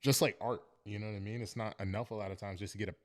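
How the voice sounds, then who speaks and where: A man's voice: fairly low pitch, steady tone, very fast. A young man from Northern Virginia, a guest on a podcast, talking in an earnest, reflective way and often checking in with "you know what I mean.". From Northern Virginia, United States. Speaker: podcast guest, professional football player. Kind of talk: casual interview chat.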